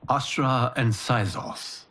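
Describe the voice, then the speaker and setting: An actor playing a solemn, commanding fire god who protects his realm, speaking in a formal, measured way in a video game. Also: medium pitch, steady tone, a bit bright, slight background noise. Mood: grave. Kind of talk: video game cutscene acting. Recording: music behind the voice.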